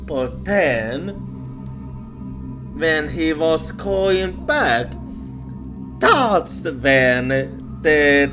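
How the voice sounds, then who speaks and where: A man with a slightly high voice tells a ghost story in ASMR style over prominent music. He is a non-native English speaker. His delivery is extremely slow and halting, often repeating words as he goes. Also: sing-song. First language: not English.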